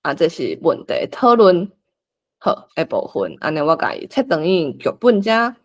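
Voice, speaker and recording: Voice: medium pitch, very animated, moderate pace. A person with a Taiwanese accent explaining and organising a group script reading, thinking aloud in an informal way as the facilitator. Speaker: workshop facilitator.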